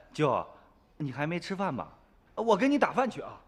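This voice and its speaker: A man's voice: fairly high pitch, wildly swooping pitch, normal speed, slight background noise. A man playing a shy, evasive young villager in a radio drama, stammering and protesting. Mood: flustered. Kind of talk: Chinese radio drama acting. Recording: long reverb with music behind.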